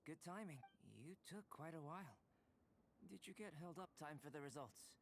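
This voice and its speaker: An actor playing a calm, scholarly, introspective young male alchemist in a video game, speaking in a measured, formal, reflective way. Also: a little high, background noise, close to an everyday voice. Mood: composed, explaining. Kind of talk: video game dialogue acting. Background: background music.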